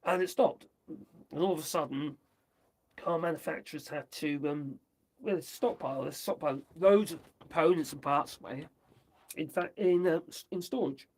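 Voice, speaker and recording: A man from the UK, chatting his way through the news on a livestream, rambling and tossing in tag questions, his voice going hoarse. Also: a little high, normal speed, a little mellow. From United Kingdom. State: losing his voice. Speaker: livestream host. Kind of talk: casual commentary on news.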